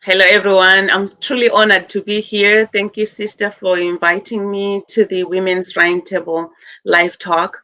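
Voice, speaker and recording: A woman's voice: mid-range voice, level tone, normal speed, bright, forceful tone, no echo. Zimbabwe-born woman guest, earnest, lots of ums, dry close mic. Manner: earnest, appealing, with many fillers. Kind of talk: call-in interview.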